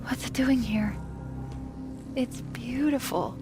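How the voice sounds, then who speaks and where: A woman's voice: a little high, takes it slowly, music in the background. An actress voicing an earnest, anxious female AI companion in a video game, with a wondering, hesitant way of questioning. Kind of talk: dramatic video game dialogue.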